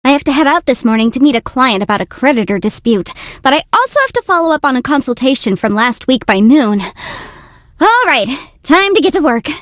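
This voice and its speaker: High, lively young legal adviser. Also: female voice, fairly quick, no echo, clean studio sound. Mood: brisk. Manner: articulate, theatrical, self-assured. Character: bright, brisk young female legal adviser, cute and confident. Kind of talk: video game character voice lines.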